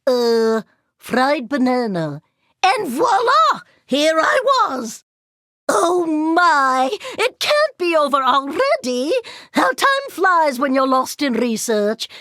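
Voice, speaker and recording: An elderly female inventor from an animated video game, fussy and endlessly curious. She speaks slowly and precisely in a high voice that rises and dips freely. Her manner is prim, chatty and motherly. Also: no room sound, studio quality, exaggerated voice. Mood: absorbed, intrigued, then wistful. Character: fussy, curious elderly woman scientist, doting robot inventor.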